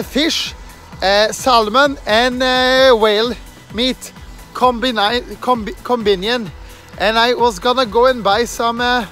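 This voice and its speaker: A man in his thirties speaks English with a strong Norwegian accent, his voice sitting high for a man. He talks earnestly into a phone held at arm's length on a night street, stopping often for fillers and restarts, with faint music in the background. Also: a bit bright. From Norway. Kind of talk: casual walking vlog. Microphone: phone camera held at arm's length.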